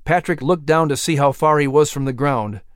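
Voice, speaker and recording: A man reading a children's adventure story as narrator, expressive and suspenseful. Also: fairly high pitch, normal speed, no room sound, clean studio sound. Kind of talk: dramatic scripted story narration.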